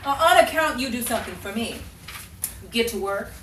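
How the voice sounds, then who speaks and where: A woman's voice: fairly high pitch, animated, normal speed, a bit bright. A woman acting a nosy, streetwise hat-check girl in a 1950s Hollywood-style audio drama, teasing with sassy banter. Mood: wisecracking. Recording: roomy, distant mic, faint background noise.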